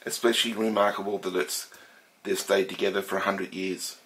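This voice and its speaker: Casting: middle-aged Australian man, nasal from a head cold, lowish voice, casual unhurried narration. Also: level tone, fairly bright tone, rough recording. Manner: slow, thoughtful, with frequent fillers and restarts. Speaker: steam engine collector. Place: home workshop room.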